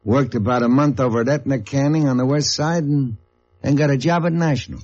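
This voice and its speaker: An actor playing a cooperative, businesslike union agent with an American accent, in 1950s radio drama style. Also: male voice, medium pitch, brisk, darkish tone, lightly acted. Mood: matter-of-fact. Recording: muffled old radio recording.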